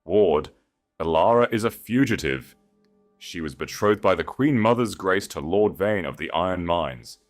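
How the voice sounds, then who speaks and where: A man's voice: low-pitched, animated, measured pace, soft background music. A man voicing a sneering, cruel royal enforcer in an audiobook, menacing and commanding. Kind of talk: audiobook character voice.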